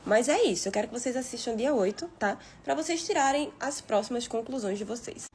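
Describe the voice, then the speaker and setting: A woman, talking casually and defensively to her followers, blunt and expressive. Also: fairly high pitch, brisk, a little hiss or hum. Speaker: reality show participant. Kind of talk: casual social media video.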